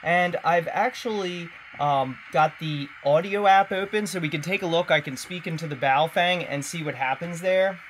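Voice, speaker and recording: A man's voice: fairly high pitch, moderate pace, few pauses. A man giving a casual, conversational hands-on tech tutorial, explaining as he goes. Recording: clearly noisy home-video recording.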